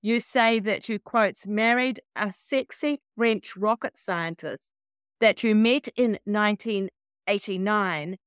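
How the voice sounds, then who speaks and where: A woman's voice: mid-range voice, slow, clean tone, no room sound, clean studio sound. A woman hosting a podcast, putting questions to a guest in a conversational interview.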